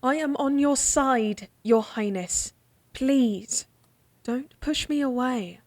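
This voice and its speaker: A woman playing a candid, devoted lady-in-waiting in a fantasy audio drama, speaking formally with a gently teasing, coaxing manner. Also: sits high, slow, dry recording. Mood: earnest, pleading.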